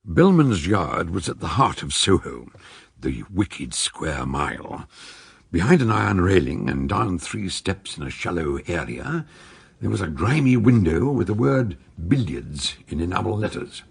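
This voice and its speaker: A man narrates a detective drama in a low voice. It is gravelly and very raspy. His delivery is dramatic and scripted. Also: very animated, a little slow, no room sound.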